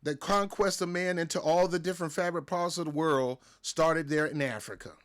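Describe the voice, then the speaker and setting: A man's voice: a little high, normal speed, clean tone. An American man hosts his own wrestling show in a loose, opinionated rant. He repeats his points for emphasis and stumbles into restarts as he pushes on, sounding like a younger adult talking off the cuff. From United States. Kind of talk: casual opinion rant to camera.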